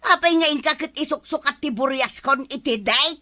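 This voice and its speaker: A woman's voice: high voice, brisk, pushed and bright. A woman acting a fiery, scolding village wife in an Ilocano comedy drama, with an Ilocano accent. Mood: suspicious. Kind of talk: Ilocano radio-style comedy drama dialogue. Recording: faint music behind.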